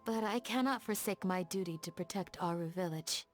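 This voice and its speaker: A woman voicing a gentle, dutiful young desert village guardian in a video game, speaking in a soft, measured, courteous way. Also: on the low side, soft background music, lightly acted. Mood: calm, welcoming. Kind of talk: scripted game dialogue, storytelling.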